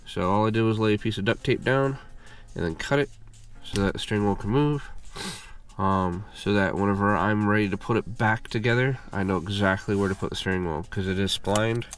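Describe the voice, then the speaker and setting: A congested man hosting a car repair channel, giving a relaxed, casual how-to walkthrough full of fillers while working on a car. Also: lowish voice, fairly quick. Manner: relaxed, filler-heavy explaining.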